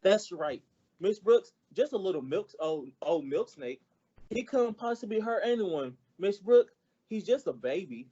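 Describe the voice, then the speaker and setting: An eager, folksy teenage boy played by a man in a radio comedy, earnest and polite. The voice is soft-edged and mellow, on a slightly muffled recording. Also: high-pitched, expressive, unhurried, breathy edge, full character voice. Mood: reassuring. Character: eager, naive, good-natured teenage schoolboy. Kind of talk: old-time radio comedy acting.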